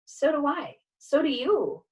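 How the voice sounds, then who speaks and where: A good-natured storybook dog, a male played by a woman, talks in a fairly high voice. He sounds naive and bewildered, and is easily flustered. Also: expressive, slow pace. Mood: matter-of-fact.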